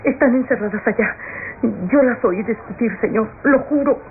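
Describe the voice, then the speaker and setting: A timid servant woman played by an actress speaks in a high, hesitant, agitated voice, deferential and apologetic, in a classic radio soap. The recording is narrow and old, with clear noise behind her. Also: normal speed, lightly acted. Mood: agitated, fearful. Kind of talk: old-fashioned radionovela acting.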